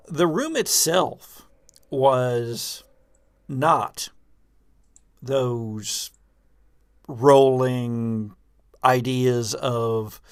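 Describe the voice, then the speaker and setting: Slow, gravelly man running a game. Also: mid-range voice, no echo. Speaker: game master of a tabletop RPG. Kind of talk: improvised tabletop game narration and chat.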